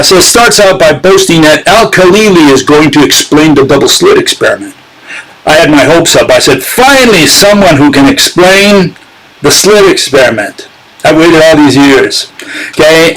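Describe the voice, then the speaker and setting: A man's voice: fairly high pitch, fairly bright tone, rough recording. A man hosting a casual live-streamed talk, sarcastic and chatting with his viewers as he goes. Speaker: channel host giving a critique.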